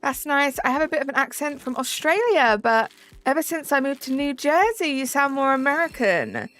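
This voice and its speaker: A woman's voice: sits high, very animated, normal speed, a bit bright. A young woman with a Southern English accent, chatting casually on a live stream and answering viewers' questions. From Southern England. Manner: chatty, answers chat with fillers like "um" and "like". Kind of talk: casual live-stream chat reading comments.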